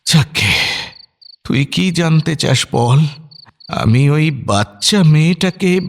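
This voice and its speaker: Slow-spoken ghost of an old schoolteacher. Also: male voice, fairly high pitch, expressive, creaky, full character voice. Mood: weary, wounded. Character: ghost of a kindly, wronged old male schoolteacher. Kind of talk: audio drama acting.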